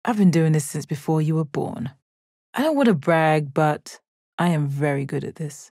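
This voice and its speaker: An actress voicing a calm, stoic alien warrior woman in a video game, with measured, deadpan, formal phrasing and a confident, kind temper. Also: low voice, dry recording, clean studio sound. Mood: assured. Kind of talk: video game character voice lines.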